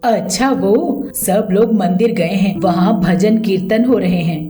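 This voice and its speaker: A woman playing a kindly village mother-in-law in a family story, affectionate and gently chiding. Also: mid-range voice, a little slow, close to an everyday voice. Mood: warm, reassuring. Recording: echoey voice over background music.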